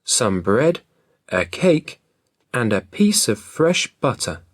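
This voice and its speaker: A man's voice: medium pitch, very animated, takes it slowly, no room sound, clean studio sound. A man voicing a caring mother in a storybook, giving careful instructions in a raised voice. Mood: instructing. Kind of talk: storybook reading.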